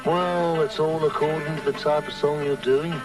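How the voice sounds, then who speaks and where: A man playing a glum, good-natured young musician in a 1960s cartoon, with a nasal, deadpan drawl. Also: fairly high pitch, normal speed, far from the mic, close to an everyday voice, rough recording. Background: loud music.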